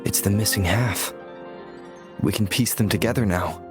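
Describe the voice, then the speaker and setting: Guy doing calm male game adventurer, lowish voice, music playing behind. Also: normal speed, lightly acted. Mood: matter-of-fact. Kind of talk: video game cutscene voice acting.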